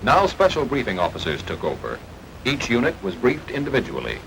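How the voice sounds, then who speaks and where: An American man delivers formal wartime documentary narration in the 1940s style. His pitch is mid-range but moves in lively, emphatic swings. The sound is an old archival film track with some noise beneath the voice. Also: fairly quick, vocal fry.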